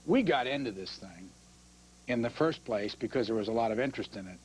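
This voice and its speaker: A man's voice: medium pitch, expressive, brisk, a little mellow, slight background noise, low-quality recording. A man hosting a TV call-in show, explaining his network's choices with hesitant restarts. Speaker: TV call-in host.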